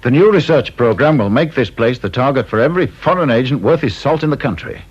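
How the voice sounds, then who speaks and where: An actor playing a sardonic, talkative senior security interviewer, British, with a dry, teasing, mock-polite manner in radio drama style. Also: male voice, medium pitch, expressive, fast talker, slightly rough. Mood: smooth, authoritative. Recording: dull, muffled old radio recording.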